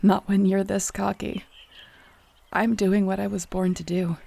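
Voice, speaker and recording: Woman as a gravelly, defiant shifter heroine. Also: mid-range voice, measured pace. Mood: defiant, resolute. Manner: steady, confident, defiant. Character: defiant, resolute young female wolf shifter heroine. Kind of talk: audio roleplay drama acting.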